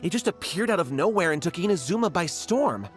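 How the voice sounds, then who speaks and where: Chatty young writer, breezy, high voice, lively swoops, video game. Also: male voice, a little fast, soft background music.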